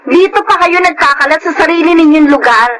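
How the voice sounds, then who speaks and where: An actress playing a resentful, outspoken daughter in a Filipino radio drama, blunt and reproachful. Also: female voice, sits high, a little fast, noisy background, natural voice.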